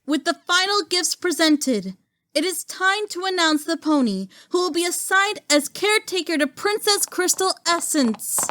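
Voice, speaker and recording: A woman voicing a regal pony princess and mother, gentle and formal, in a cartoon drama. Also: extremely high pitch, animated, normal speed, a little hiss or hum, full character voice. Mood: ceremonial. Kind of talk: animated fan drama voice acting.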